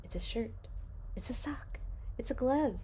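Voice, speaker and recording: A woman acts out a brash, greedy businessman from a children's storybook. The voice is on the high side and very slow, boastful and dismissive. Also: expressive, warm tone, whispered. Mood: defensive. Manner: boastful, brushing off objections. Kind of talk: children's storybook read-aloud.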